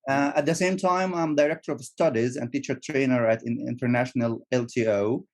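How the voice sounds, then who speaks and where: Male guest speaking second-language English, halting, repeats words. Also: mid-range voice, darkish tone. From Egypt. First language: Arabic. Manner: repeats words, restarts often. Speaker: guest, teacher trainer. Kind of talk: casual interview.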